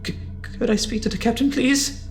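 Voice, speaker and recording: A man's voice: very high-pitched, steady tone, moderate pace, creaky, faint music behind. A man playing an anxious, defensive scientist in an audio drama, hesitant and stammering as he pleads. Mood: subdued. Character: anxious, defensive male scientist pleading his good intentions.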